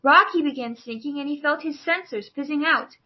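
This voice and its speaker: A woman's voice: sits high, a little fast, highs cut off. A woman narrating a children's storybook as a scripted read-along.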